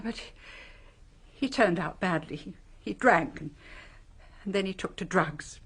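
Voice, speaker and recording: An actress in a radio drama playing an anguished, secretive middle-aged mother, halting, defensive and earnest. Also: medium pitch, fairly bright tone, husky.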